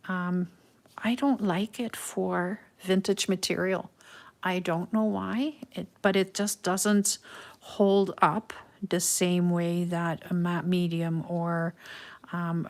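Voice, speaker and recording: A middle-aged Dutch-accented woman with a lowish voice talks viewers through a craft project live. She speaks slowly and musingly, often pausing on an um while she works. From Netherlands. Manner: unhurried, musing, frequent ums. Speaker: craft livestream host.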